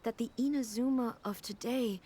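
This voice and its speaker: A woman's voice: a little high, normal speed, warm tone, natural voice. A woman playing a regal, ageless immortal ruler in a video game, solemn and reflective, speaking formally and with composure. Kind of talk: video game character acting.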